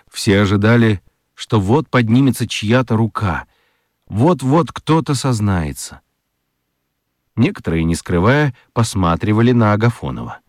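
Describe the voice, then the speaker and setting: A man reads scripted narration for a children's radio play. His voice sits on the low side, and he tells the story expressively. The sound is dry, with no room around it. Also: no echo.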